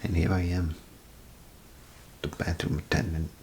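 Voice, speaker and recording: A man doing a soft-spoken, half-whispered ASMR role play as a chatty, grumbling bathroom attendant, rambling and hesitant in a folksy way. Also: sits low, level tone, unhurried, slight background noise. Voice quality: gravelly, breathy half-whisper. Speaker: ASMR performer.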